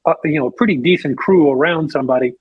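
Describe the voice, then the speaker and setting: A man with a slightly high, husky voice interviews casually, wandering through his questions with asides. The sound is dry and somewhat dull. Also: quick pace, no room sound.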